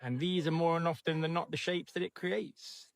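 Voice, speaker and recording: A man giving explanatory commentary in a measured, matter-of-fact way. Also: a little high, steady tone, vocal fry, no room sound.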